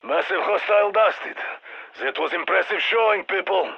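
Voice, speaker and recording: Gravelly Russian military commander. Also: male voice, high-pitched, normal speed, muffled recording, heavily acted. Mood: commanding, urgent. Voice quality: gravelly, husky. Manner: commanding, dry wry asides. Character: gruff Russian male military squad commander, wry and resolute. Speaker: mission commander giving radio briefings. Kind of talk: scripted video game voice lines. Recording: band-limited military radio sound.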